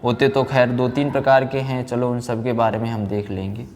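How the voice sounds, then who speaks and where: A man's voice: medium pitch, flat delivery, moderate pace, darkish tone. Male teacher in echoing room. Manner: didactic, asks questions and answers them himself. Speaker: science teacher. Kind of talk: classroom physics lecture. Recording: very reverberant room, background noise.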